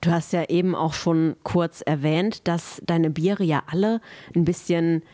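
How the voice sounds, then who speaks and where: A woman's voice: lowish voice, fairly even intonation, a little slow, mellow, a little hiss or hum. A woman hosting a podcast in a basement room, asking friendly, chatty questions. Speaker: podcast host. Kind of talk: casual interview.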